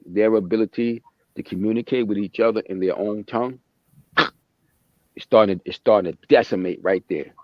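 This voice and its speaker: A man's voice: on the low side, no room sound. A man hosting a podcast, talking in a passionate, emphatic way and often repeating himself. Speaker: podcast host. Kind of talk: casual chat. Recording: dry, dull lo-fi sound.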